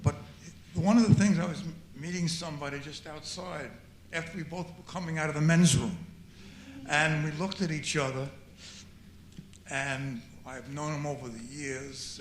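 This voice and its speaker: An older man in the audience of a screening Q&A, giving a reflective comment and often repeating words as he searches for them. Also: on the high side. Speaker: audience member. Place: auditorium after screening. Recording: echoey hall with faint noise.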